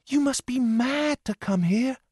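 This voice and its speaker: A man voicing a sad, frightened young woman in danger, speaking softly and pleadingly. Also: very high voice, unhurried, slightly rough, no echo, clean studio sound, pitched above the performer's own voice, full character voice. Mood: alarmed. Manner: soft, pleading, whispered.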